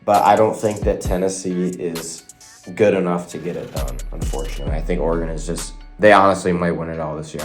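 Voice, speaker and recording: Deep-voiced young man with a stuffy cold. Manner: chatty, rambling, addresses viewers as y'all. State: nasal from a cold. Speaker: sports video host. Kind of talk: casual predictions talk to camera. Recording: faint guitar music behind.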